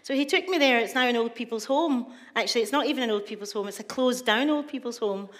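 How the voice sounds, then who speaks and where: A woman's voice: fairly high pitch, fast. Scottish woman telling stories in a hall. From Scotland. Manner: chatty, laughing, animated storyteller. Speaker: writer speaking at a festival. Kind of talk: live storytelling talk. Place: stage in a large hall. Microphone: stage microphone. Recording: long reverb of a big hall.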